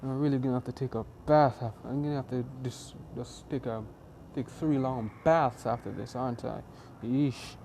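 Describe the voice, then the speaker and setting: A man's voice: medium pitch, steady tone, moderate pace, hardly any pauses, mellow, a little hiss or hum, close to an everyday voice. A man voicing a confident, cocky teenage boy hero in a smug, defiant way for a told story.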